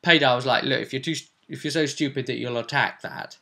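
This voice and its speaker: A man's voice: medium pitch, fairly quick, creaky, bright, forceful tone. A man chatting casually as a game master, recapping a tabletop role-play session and acting out bits as he goes.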